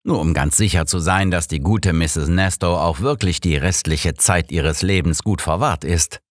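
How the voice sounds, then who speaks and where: A man voicing a sardonic, sharp-minded elderly detective in an audiobook, pointed and dryly persuasive. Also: low voice, normal speed, no echo, studio quality, close to an everyday voice. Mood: smoothly polite. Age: older.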